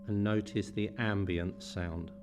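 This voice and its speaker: Male meditation teacher, deep voice, unhurried delivery broken into short, spaced phrases. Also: steady tone, background noise. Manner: slow, pausing between short phrases. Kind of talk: guided meditation.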